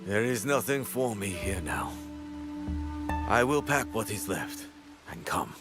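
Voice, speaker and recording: Game role: solemn, broken male blacksmith, very low voice, slow halting delivery. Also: sing-song, over music. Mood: solemn, humble. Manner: terse, halting, somber. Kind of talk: video game cutscene acting.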